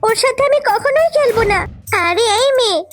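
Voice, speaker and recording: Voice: extremely high pitch, animated, a little fast, soft background music. A performer voicing a jealous, bad-tempered little girl in a children's cartoon, petulant and demanding. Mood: jealous anger.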